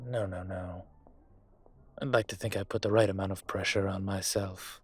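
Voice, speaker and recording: A man playing a suave, teasing dragon mafia boss in an intimate roleplay audio, speaking deliberately with a husky voice. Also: sits low, steady tone, faint music behind. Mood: gentle, earnest. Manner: smooth-talking, deliberate, teasing.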